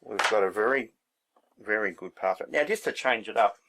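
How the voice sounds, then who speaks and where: Low-pitched man, casual demo. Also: animated, normal speed, background noise. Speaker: hobbyist presenter. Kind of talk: casual hands-on demonstration, thinking aloud.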